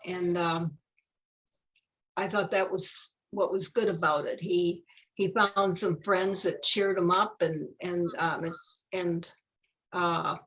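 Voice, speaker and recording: An older woman chatting casually about a children's book, haltingly, often searching for her words. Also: lowish voice, steady tone, fairly bright tone, lo-fi sound. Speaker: volunteer storybook reader.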